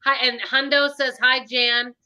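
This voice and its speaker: A woman with a Minnesota accent hosts a casual live stream, chatting in an upbeat, rambling way. Her voice is bright and a little high. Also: little pitch movement, unhurried, smooth. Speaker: channel host.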